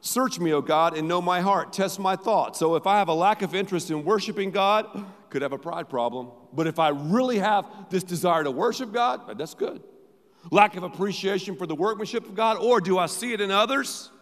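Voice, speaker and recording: A middle-aged pastor preaching a sermon, emphatic, repeating phrases, swinging between loud and quiet. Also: male voice, high voice, moderate pace. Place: large church auditorium. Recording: long hall reverb.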